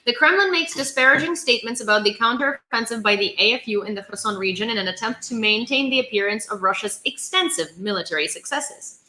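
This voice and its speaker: A woman's voice: fairly high pitch, fast, few pauses, bright, forceful tone, roomy sound, slight background noise. A woman from Ukraine with an American-sounding accent, hosting a livestream of news reading and informal chat with viewers. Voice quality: versatile English with American-sounding vowels. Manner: chatty, informal, thanks viewers by name. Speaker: livestream host reporting Ukraine war news.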